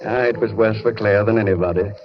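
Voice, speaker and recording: Actor as a genteel, low-voiced gentleman. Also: steady tone, fast, close to an everyday voice. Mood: genial. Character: mild, genial gentleman, owner of an old house. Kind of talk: 1940s radio acting. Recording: muffled old radio, loud music.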